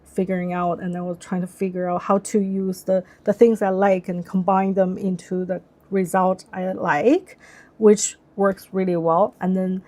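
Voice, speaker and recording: A woman's voice: mid-range voice, little pitch movement, moderate pace, dark tone. A young woman whose first language is Chinese, hosting a casual live stream. She thinks aloud in English, often restarting sentences as she searches for words. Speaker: livestream host.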